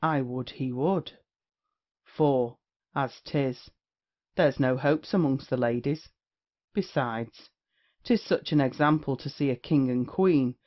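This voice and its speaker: A man playing a worldly, wry gentleman of the court in a period comedy audio play, with declamatory delivery. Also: mid-range voice, close to an everyday voice. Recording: dull lo-fi audio.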